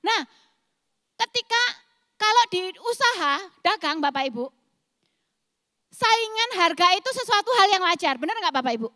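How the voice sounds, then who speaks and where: High, bright East Javanese woman preacher. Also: expressive, moderate pace, pauses often, no room sound, studio quality, long reverb tail. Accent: thick East Javanese. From East Java, Indonesia. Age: 40s. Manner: emphatic, explosive delivery addressing the congregation. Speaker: pastor. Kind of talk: sermon.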